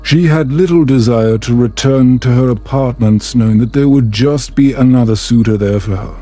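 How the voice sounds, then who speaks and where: A man reading a bedtime story as calm, scripted ASMR narration in a measured, soothing and gentle manner. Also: mid-range voice, faint music behind.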